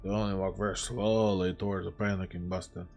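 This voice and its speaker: A man's voice: sits low, level tone, fairly quick, a little mellow. A man livestreaming in casual, sweary bro slang, chatting and composing a story aloud. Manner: informal, profane, bro slang. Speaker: live-streaming writer and gamer. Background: background music.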